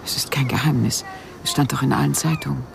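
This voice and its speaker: An actress playing a guarded widow haunted by loss in a radio play, speaking in a measured, pausing way. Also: female voice, rumbling low, fairly even intonation, vocal fry, noisy background, heavily acted.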